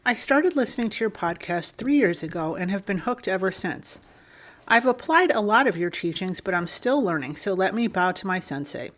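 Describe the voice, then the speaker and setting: A woman co-hosting a podcast, reading a listener's email aloud in a steady, clear manner. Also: mid-range voice, normal speed.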